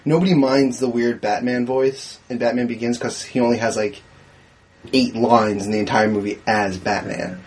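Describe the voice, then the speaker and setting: A man with a slightly husky voice in a casual group movie discussion. He argues his opinions emphatically and swears freely, in a mid-pitched register. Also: steady tone, normal speed, darkish tone, rough recording. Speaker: panelist on a movie discussion show. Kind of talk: casual group chat about films.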